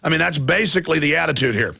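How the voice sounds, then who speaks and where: A man's voice: fairly high pitch, expressive, very fast, gravelly, sharp tone, breathy edge, no room sound. A man hosting a talk radio show, sarcastic and combative, taking calls.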